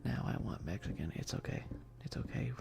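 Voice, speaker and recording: A man chatting casually on an ASMR game stream in a soft voice that often slips toward a whisper, laid-back and sweary with slangy, hesitant enthusiasm. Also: low voice, lively intonation, fairly quick, gravelly. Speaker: streamer hosting a live stream. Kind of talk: casual rambling ASMR stream chat about games.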